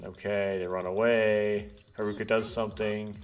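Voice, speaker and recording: A man doing a casual let's play, haltingly thinking aloud and reading visual novel text out. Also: on the low side, little pitch movement. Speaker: let's player. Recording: background music behind voice. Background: game music.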